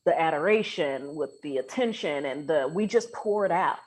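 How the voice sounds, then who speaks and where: Lower-pitched woman, earnest podcast guest. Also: expressive, moderate pace, rough recording. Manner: earnest, colloquial, emphatic. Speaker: podcast guest, organizational coach. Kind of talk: casual conversational podcast chat.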